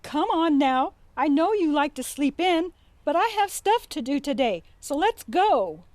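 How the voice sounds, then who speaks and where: Audio drama role: impatient female friend played by an actress, high-pitched, loud and insistent, dry close sound. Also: very animated, unhurried, no echo. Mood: impatient, calling out. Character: impatient woman calling out for her sleeping friend.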